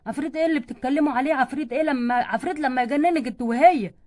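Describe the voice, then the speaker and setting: A woman's voice: high voice, very fast, a little mellow, lightly acted. A woman voicing a stern, exasperated father in doll play, nagging and scolding with an Egyptian accent. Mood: angry.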